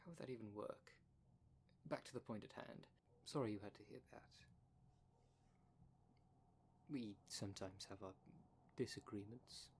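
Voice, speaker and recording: A man's voice: fairly low pitch, measured pace, pauses often, slight background noise. A man playing a prim, defensive dream demon in a formal, fussy, easily exasperated manner for an ASMR roleplay drama. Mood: measured. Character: prim, defensive dream demon, one half of split creature.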